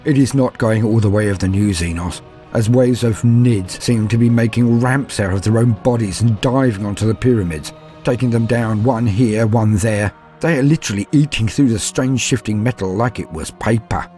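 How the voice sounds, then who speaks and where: A man's voice: lowish voice, warm tone, soft background music, natural voice. A man playing a fearful, despairing human soldier, telling his story in grave, theatrical first-person narration. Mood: bewildered dread. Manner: grave, theatrical, deliberate phrasing.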